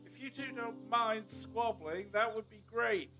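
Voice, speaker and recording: A man's voice: high voice, unhurried, sharp tone, faint music behind, heavily acted. A man playing a breezy, eccentric, impatient time-travelling adventurer in an audio drama. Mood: cheery. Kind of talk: fan audio drama acting.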